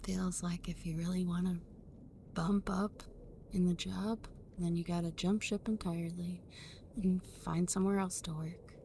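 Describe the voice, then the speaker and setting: Over light but noticeable noise, a woman acts out a young best friend in a cozy ASMR roleplay. Her voice is mellow and breathy, often slipping into a whisper. She talks slowly and intimately, casual and affectionate with a teasing streak. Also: on the low side, level tone. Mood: wry, resigned.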